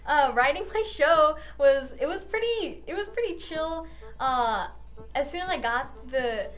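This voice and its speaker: A woman's voice: high voice, expressive, unhurried. A young adult woman giving casual interview answers as a playwright. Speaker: student playwright. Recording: faint music behind.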